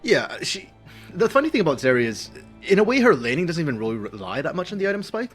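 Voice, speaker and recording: A man's voice: on the high side, sing-song, fast, soft background music. A man giving esports colour commentary, explaining strategy at length in an analytical way.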